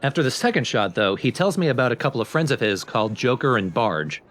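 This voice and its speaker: A man's voice: mid-range voice, sing-song, quick pace, a bit bright. A man giving casual, explanatory gameplay commentary in an informal way. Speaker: let's play host and player.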